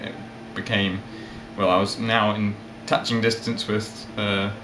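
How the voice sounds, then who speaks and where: Low, even-voiced man with a mixed accent. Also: unhurried. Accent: mixed South African, English and Australian. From South Africa, with years in England and Australia. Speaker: interview guest, ukulele player and magazine editor. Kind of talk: casual interview chat. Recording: roomy echo, steady background noise.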